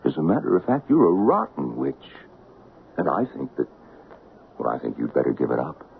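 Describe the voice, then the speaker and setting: A gravelly, low-voiced doctor, coaxing and lilting; tinny old radio. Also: male voice, huge pitch swings, normal speed, slight background noise, exaggerated voice. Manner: gentle, coaxing, lilting storyteller delivery. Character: soothing, patronizing middle-aged male doctor. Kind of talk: 1970s radio drama acting.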